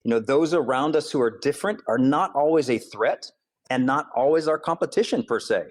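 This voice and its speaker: A man from Hawaii speaks as an author and school counselor being interviewed. He explains his ideas earnestly and steadily, at a middle pitch, and keeps his delivery even. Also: a little fast. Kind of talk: interview answers.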